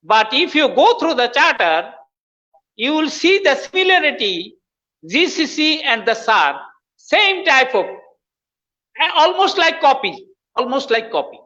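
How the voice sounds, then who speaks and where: Male professor guest, unhurried and emphatic, bright voice, echoey muffled recording. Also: extremely high pitch, big pitch swings. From Bangladesh. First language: Bengali. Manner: emphatic, repeats phrases for stress. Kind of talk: explanatory interview talk. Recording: dull lo-fi audio with long reverb.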